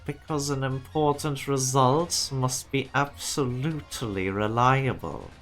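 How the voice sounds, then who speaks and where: A man voicing a stern, self-assured laboratory boss in an amateur fan dub, with a measured, condescending manner. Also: mid-range voice, fairly bright tone, soft background music. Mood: cold, exacting.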